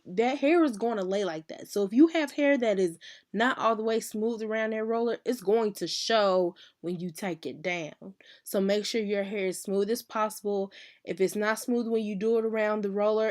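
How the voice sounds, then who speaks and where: A woman, hoarse and losing her voice from illness, chatting casually through a hair tutorial and often correcting herself. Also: mid-range voice. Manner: chatty, uses "y'all", self-correcting. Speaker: hairstylist teaching a tutorial.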